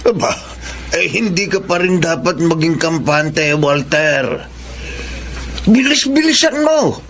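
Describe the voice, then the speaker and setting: Pushy Filipino father, creaky and animated. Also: on the high side, slow pace, full character voice. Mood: pushy, coaxing. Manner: colloquial, wheedling, exclamatory. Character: pushy, scheming middle-aged father of a young woman. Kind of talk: Filipino radio drama acting. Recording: faint background noise.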